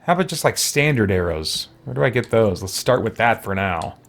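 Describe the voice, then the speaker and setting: Man muttering through a game, gravelly fry. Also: on the low side, big pitch swings, fairly quick, a bit bright. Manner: muttering to himself, mild exclamations. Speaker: host of a role-play gaming playthrough. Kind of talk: casual gameplay commentary, thinking aloud.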